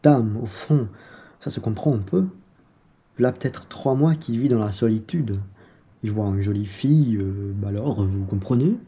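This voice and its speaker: A very dark, slightly rough male voice from an actor as a self-serving merchant in a radio play. He is familiar and cajoling, always angling for his own advantage. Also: fairly low pitch, moderate pace. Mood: grumbling, sly.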